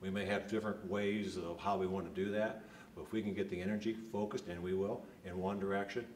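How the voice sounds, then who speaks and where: A man with a low voice gives a quick interview soundbite. He is recorded a little away from the mic in a roomy space with faint noise. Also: fairly even intonation, darkish tone. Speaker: nonprofit executive director. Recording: echoey, distant, faint ambient noise.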